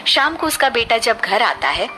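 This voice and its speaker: A woman's voice: a little high, very animated, normal speed, bright, forceful tone, soft background music. A woman telling a scripted cartoon story as its narrator.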